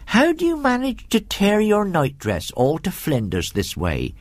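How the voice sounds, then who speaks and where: Storybook housekeeper, played by a man: older, kindly, gossipy servant woman, pitched up, rustic phrasing. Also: wildly swooping pitch, a little slow, no echo, exaggerated voice. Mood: irritable, scolding. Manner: chatty, folksy, dialect grammar.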